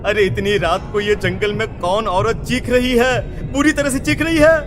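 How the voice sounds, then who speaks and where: A man's voice: sits high, fairly even intonation, moderate pace, natural voice. A man playing a nervy village farmer in a horror audio story, speaking in urgent exclamations. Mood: alarmed, urgent. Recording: clearly noisy background.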